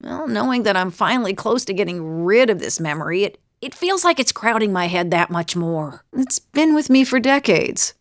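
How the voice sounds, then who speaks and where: A woman's voice: medium pitch, animated, normal speed, hardly any pauses, dry recording, clean studio sound, lightly acted. A woman playing a weary, wry middle-aged adventurer in a video game, confiding in a plain-spoken way. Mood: troubled. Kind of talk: video game character dialogue.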